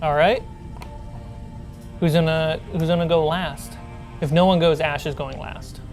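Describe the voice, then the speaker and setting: A low-pitched woman acts as game master for a tabletop role-playing session. She explains the scene step by step, with music playing behind her. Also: steady tone, unhurried, a little mellow. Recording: background music under the voice.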